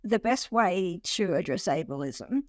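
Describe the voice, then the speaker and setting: A woman's voice: fairly low pitch, slow pace, dry recording. Chatty woman in her forties, dry sound. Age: 40s. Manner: animated storyteller who laughs often and restarts sentences. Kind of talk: casual conversational interview.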